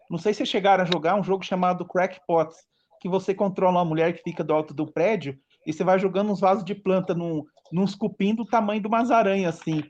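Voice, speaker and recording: A man's voice: high-pitched, highs cut off, slight background noise. A man in his late thirties from Brazil, chatting casually on a podcast and rambling through a nostalgic list of old games. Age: 30s. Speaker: podcast participant. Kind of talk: casual chat.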